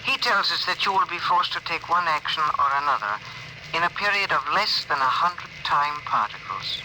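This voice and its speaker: A calm alien envoy played by a man in 1950s radio drama style. The voice sits a little high, with formal, measured, stilted phrasing. The old broadcast carries clear noise. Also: close to an everyday voice. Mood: grave, formal.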